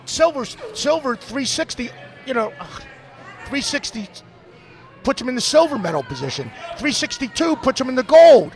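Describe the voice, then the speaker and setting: High-voiced blunt male sports commentator. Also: sing-song, moderate pace, a faint crowd. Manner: opinionated, blunt, repeats words while thinking. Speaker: lead commentator.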